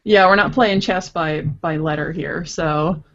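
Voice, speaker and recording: A woman's voice: low voice, measured pace, muffled recording, crowd noise. A woman guest explaining things casually over an internet video call. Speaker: guest interviewee, visual novel writer.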